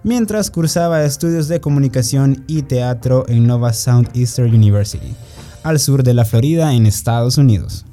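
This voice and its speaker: Salvadoran male radio co-host, very warm dark tone, faint music bed. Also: mid-range voice, expressive, moderate pace. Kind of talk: scripted radio segment.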